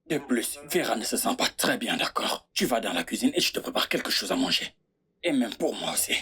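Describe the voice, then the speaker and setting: A woman's voice: rumbling low, very animated, a little fast, a bit bright, heavily acted. A woman dubbing a young man, the eldest brother of the family, who is defensive and pleads insistently. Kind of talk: French dub of a film.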